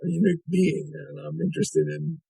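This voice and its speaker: A man's voice: a little high, level tone, brisk, creaky, mellow, breathy edge, dry recording. A male interviewer asking probing questions, often restarting his sentences. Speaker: interviewer, host.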